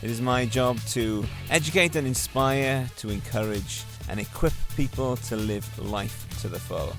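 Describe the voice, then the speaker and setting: A man's voice: mid-range voice, unhurried, over music. A man hosting his own podcast, telling a story and encouraging listeners in an earnest, motivational monologue. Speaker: podcast host.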